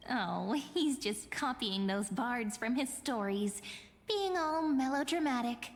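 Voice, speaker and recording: A woman voicing a mysterious young fortune reader in a video game, speaking softly with a teasing, wistful manner. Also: a little high, lively intonation, slow pace, full character voice. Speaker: game character.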